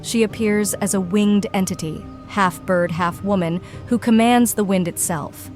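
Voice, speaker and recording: A woman acting a composed elder healer with a mid-pitched voice. Her phrasing is stately and archaic, befitting a fantasy game leader, and faint music plays behind her. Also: measured pace, darkish tone, lightly acted. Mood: gracious, composed. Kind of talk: scripted video game voice acting. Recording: studio voice under game music.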